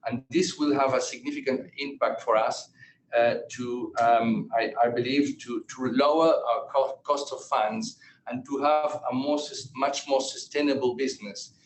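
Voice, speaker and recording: A man's voice: medium pitch, fairly even intonation. Man, executive on a call, hesitant and repetitive, roomy echo, faint noise. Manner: halting, repeats and restarts words often. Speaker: company executive answering analysts. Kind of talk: unscripted earnings call answer.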